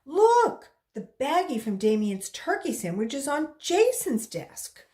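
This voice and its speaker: Woman as a smug, high-pitched tattling schoolgirl. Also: sing-song, takes it slowly, dark tone, exaggerated voice. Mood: smug, eager. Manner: eager, smug.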